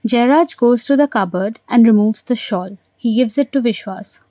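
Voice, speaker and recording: A woman's voice: on the high side, normal speed, mellow, dry recording. A woman reading out character names and stage directions as narrator of an audio play.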